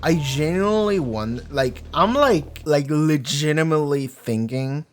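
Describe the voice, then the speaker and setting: Young man reacting, lively swooping pitch. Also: measured pace. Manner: blunt, sweary, slangy. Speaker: reaction video host. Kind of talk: casual running commentary on a TV episode.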